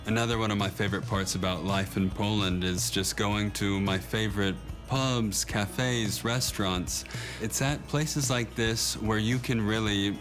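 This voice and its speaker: A man's voice: fairly low pitch, steady tone, a little slow. An American man in his thirties, telling of his life abroad in an easygoing, enthusiastic way with small restarts. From United States. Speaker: documentary subject, immigrant. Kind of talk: documentary voice-over and casual chat. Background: music.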